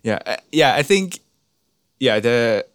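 A man's voice: mid-range voice, big pitch swings, takes it slowly, a bit bright, no room sound, studio quality. A Singaporean man hosting an interview, asking questions in a hesitant, rambling way. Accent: Singaporean English. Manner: hesitant, many fillers and restarts. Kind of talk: casual interview.

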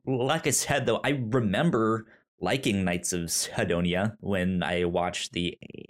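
A man co-hosting a podcast, talking casually and haltingly, repeating words as he thinks aloud. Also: low voice. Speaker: podcast co-host. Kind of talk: casual book discussion.

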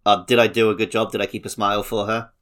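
A man's voice: fairly low pitch, level tone, fast talker, fairly bright tone. A man with an English accent co-hosting a podcast, telling stories in a casual, conversational way. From English countryside. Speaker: podcast co-host.

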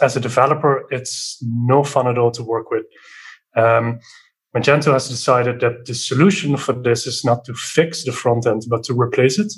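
A man from the southern Netherlands with a Dutch accent, talking casually as a podcast guest and software developer. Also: mid-range voice. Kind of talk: casual explanatory interview talk.

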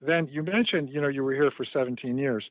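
A man's voice: medium pitch, fast talker, no echo. A man interviewing a colleague, asking friendly, lightly joking questions in conversational style. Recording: tinny phone-like line.

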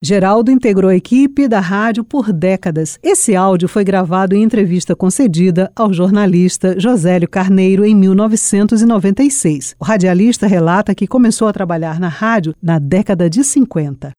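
Mellow Brazilian documentary narrator, close and dry. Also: medium pitch, normal speed, few pauses, no echo. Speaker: narrator, presenter.

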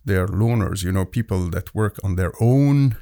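A low, gravelly man from Egypt explains things patiently, pausing often with "uh" as he thinks. His tone is mellow and warm. The recording is dry, with no room echo. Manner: thoughtful, explanatory, with frequent "uh" pauses. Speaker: physics and chemistry professor, guest. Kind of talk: conversational podcast interview.

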